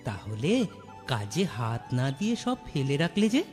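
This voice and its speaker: A man's voice: mid-range voice, very animated, measured pace, darkish tone. A man playing a merchant's earnest, shy young son in a fairy-tale cartoon, hesitant and questioning. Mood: concerned. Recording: reverberant hall sound, music underneath.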